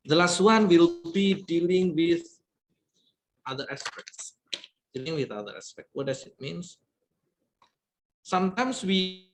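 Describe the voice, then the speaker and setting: A man's voice: medium pitch, slight background noise, poor audio. Man lecturing, "yeah" fillers. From Indonesia. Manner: repetitive fillers, frequent "yeah" tags. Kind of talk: online lecture.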